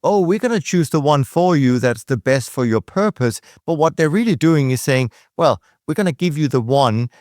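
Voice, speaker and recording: A man's voice: on the high side, expressive, normal speed, hardly any pauses, darkish tone, no room sound, studio quality. A man with a Danish accent hosting a podcast interview, chatting with rambling asides. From Denmark. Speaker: podcast host. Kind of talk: conversational interview.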